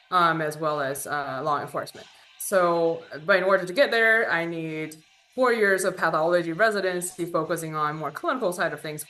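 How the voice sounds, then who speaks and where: A young woman from China speaks in a somewhat low but bright, crisp voice. She explains her path into medicine in a chatty, filler-laden way over a video call. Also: low-quality recording. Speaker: incoming pathology resident, panelist. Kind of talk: online panel conversation.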